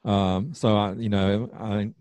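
Southern man, slightly slurred halting speech. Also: lowish voice, fairly even intonation, darkish tone, smooth, no room sound. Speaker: podcast guest, artist. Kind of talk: casual podcast conversation.

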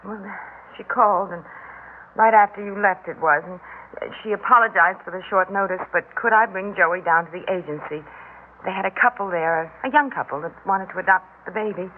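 A woman's voice: mid-range voice, fairly quick, lightly acted. A narrow, telephone-like old radio recording with faint noise carries a woman playing a middle-aged foster mother. She is weary and practical, placating and hesitant in the classic radio drama manner. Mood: hesitant, earnest.

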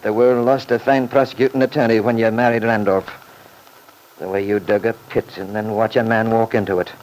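An actor playing a proud, stubborn small-town lawyer in an old-time radio soap, blustering and indignant. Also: male voice, lowish voice, flat delivery, a little fast, a little mellow, a little hiss or hum, close to an everyday voice, rough recording. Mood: exasperated.

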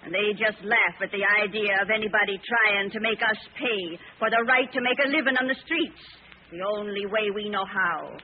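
A woman's voice: medium pitch, normal speed, lightly acted. An actress playing a plain-spoken old woman who sells apples on the street, talking in folksy, ungrammatical speech in a 1940s radio drama. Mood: grave, resigned. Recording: tinny, noisy vintage radio recording.

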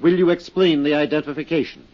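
An actor playing a methodical courtroom prosecutor in a 1950s radio drama, questioning formally and deliberately. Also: male voice, fairly high pitch, steady tone, close to an everyday voice. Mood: formal, probing. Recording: dull vintage 1950s radio recording.

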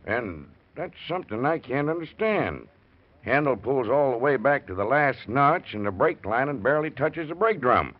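An actor playing an earnest, hesitant village mechanic who repeats himself, in an old-time radio drama. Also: male voice, mid-range voice, very animated, moderate pace. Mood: puzzled, insistent. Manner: hesitant, repetitive, apologetic. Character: folksy village garage mechanic, earnest and dogged. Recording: dull vintage radio recording.

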